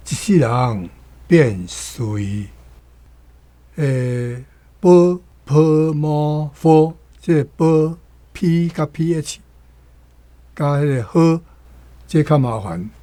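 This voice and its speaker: A man with a Taiwanese accent reads aloud and explains pronunciation as a language commentator, in a deliberate manner. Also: fairly high pitch, warm tone, no echo.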